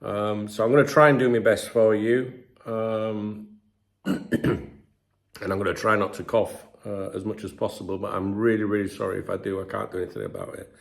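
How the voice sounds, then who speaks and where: A middle-aged English man talks casually to his viewers, his low voice gravelly and full of fry. He sounds bunged up with a sore throat and keeps clearing his throat between filler-heavy sentences. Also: normal speed. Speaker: football channel host. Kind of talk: casual commentary to camera.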